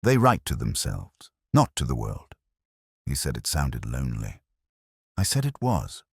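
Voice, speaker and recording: A man narrating a first-person detective story in a measured, brooding, literary manner. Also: wildly swooping pitch, husky, no echo, studio quality. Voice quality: very deep.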